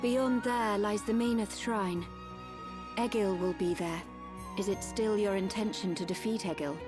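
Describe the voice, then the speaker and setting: A woman playing a solemn, wise and resolute guide in a dramatic video game, speaking in measured, formal phrases. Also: mid-range voice, over music, natural voice. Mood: grave.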